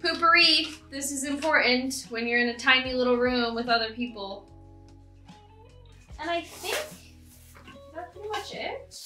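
A woman's voice: sits high, animated, sharp tone, faint music behind. Young woman, 20s, casual vlogger, upbeat and chatty, a touch tired, camera at arm's length in a small room. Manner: chatty, upbeat, quick asides and laughs. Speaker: cruise ship dancer vlogger. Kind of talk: casual vlog talking to camera. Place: hotel room, then small ship cabin. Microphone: camera on a tripod or held at arm's length.

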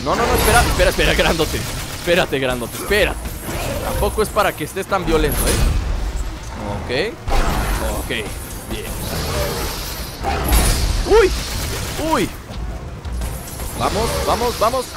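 Young Mexican gamer, stuffy from a cold, high and animated, jokey let's-play host. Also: male voice, measured pace, pauses often. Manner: playful, jokey, bursts into excited shouts. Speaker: gameplay commentator. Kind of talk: casual let's-play commentary. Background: game music.

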